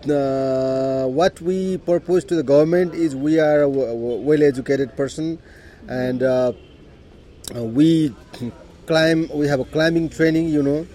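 Nepali-accented male interviewee, relaxed and mellow tone, rambling casual storytelling with fillers. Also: fairly high pitch. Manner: unhurried, frequent "you know" and "uh" fillers, occasional throat clearing. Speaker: interviewee recounting his mountaineering career. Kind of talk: casual spoken life story.

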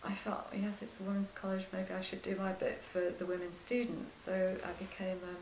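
An older woman with a quiet voice, recalling her career as a lecturer in an interview, soft-spoken and hesitant with many restarts. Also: mid-range voice, little pitch movement, moderate pace, reverberant, a little hiss or hum, low-quality recording. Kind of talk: oral history interview.

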